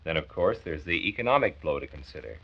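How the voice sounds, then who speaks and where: A man's voice: extremely low pitch, animated, fast, creaky, husky, exaggerated voice. An actor playing an earnest, kindly male county health doctor who explains and persuades in a 1940s radio drama. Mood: concerned, reassuring. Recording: muffled old 1940s radio recording.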